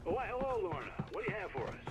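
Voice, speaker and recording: An actor playing a genial small-town radio host in a video game, folksy and drily sarcastic. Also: male voice, high voice, very animated, rapid-fire. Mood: genial, wry. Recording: dull lo-fi radio sound, faint noise.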